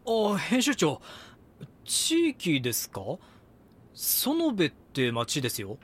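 A man's voice: on the high side, huge pitch swings, slow, husky, exaggerated voice. A man playing an eager, dogged young magazine reporter in an audio drama, polite and deferential. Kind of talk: Japanese audio drama dialogue and narration.

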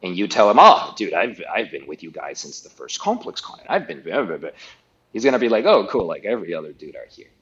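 A man's voice: low-pitched, very animated, fast talker, a bit bright, muffled recording. A middle-aged man from Guatemala, a guest talking casually in an interview, often restarting and repeating words.

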